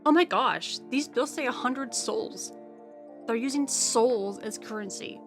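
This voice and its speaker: A woman's voice: a little high, unhurried. A young woman co-hosting scripted recap commentary in a chatty, inquisitive way. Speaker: co-host. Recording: clear music behind the voice.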